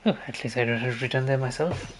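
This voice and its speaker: A woman's voice: rumbling low, fast talker, slight background noise. A woman giving casual commentary while playing a game, with game music behind her. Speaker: game streamer.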